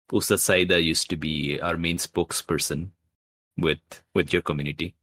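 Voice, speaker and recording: A man's voice: sits low, fairly bright tone, no echo. A man playing an earnest, emotional rebel leader in a tabletop game, stumbling sincerely over his words. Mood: solemn. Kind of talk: improvised tabletop roleplay.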